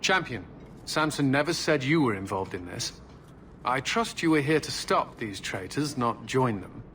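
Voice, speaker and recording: A man's voice: medium pitch, lively intonation, normal speed, fairly bright tone, lightly acted. A man playing a stern, authoritative knight captain in a fantasy game, formal, skeptical and commanding. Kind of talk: fantasy video game acting. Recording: clear ambient background noise.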